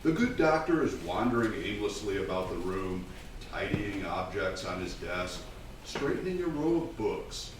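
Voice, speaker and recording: A genial old-time radio announcer, played by a man hosting a staged radio play. His voice is fairly low and animated in pitch. It sounds distant, in a very reverberant space. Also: moderate pace, noisy background. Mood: genial, presenting. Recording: very echoey stage, distant mic.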